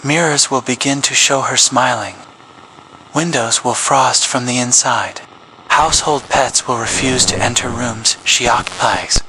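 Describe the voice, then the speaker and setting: Grave official emergency broadcast announcer. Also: male voice, mid-range voice, steady tone, bright, forceful tone, a little hiss or hum, poor audio. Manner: grave, measured official delivery. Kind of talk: scripted horror narration.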